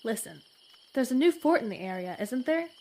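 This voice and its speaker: A woman playing a learned, discreet frontier lady in a western audio comedy, speaking in formal, precise and measured words. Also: on the high side, big pitch swings, dark tone. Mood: probing, formal.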